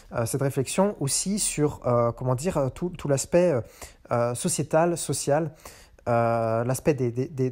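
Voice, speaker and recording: A man's voice: mid-range voice, dark tone. A man hosting a show, talking unscripted and hesitant, with many fillers and restarts. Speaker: podcast host. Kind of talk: unscripted monologue on economics. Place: in a car.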